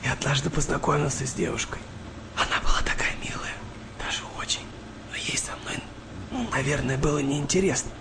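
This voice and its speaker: An actor playing a shy, sensitive, worn-out eighteen-year-old sailor in a radio play, confiding in a hesitant, naive way. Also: male voice, fairly high pitch, a little fast, creaky, whispered. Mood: shy, wistful. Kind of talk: naturalistic radio play dialogue.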